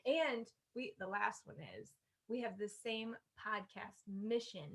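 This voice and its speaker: A woman's voice: a little high, a little slow, fairly bright tone. A woman co-hosting a podcast in casual banter, talking in a playful, giggly way. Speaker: podcast co-host.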